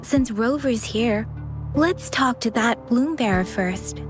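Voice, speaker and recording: A gentle young ranger. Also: female voice, fairly high pitch, expressive, takes it slowly. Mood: sincere. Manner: gentle, sincere. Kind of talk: video game cutscene voice acting. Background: game music.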